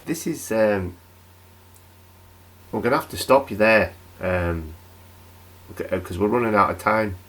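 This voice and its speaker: A man plays a nervous British local radio host in a comedy horror radio play. His voice sits fairly low, and he stumbles and rambles apologetically as he tries to keep control. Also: unhurried, long pauses, fairly bright tone. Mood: rattled, flustered. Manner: hesitant, rambling, stammering.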